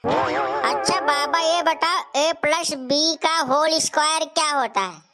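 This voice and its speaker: A woman's voice: sits high, slow, loud music behind the voice. A woman voicing a polite little schoolboy in a comic cartoon, asking questions in a matter-of-fact manner. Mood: polite, quizzing. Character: Golu, polite little schoolboy quizzing his elder.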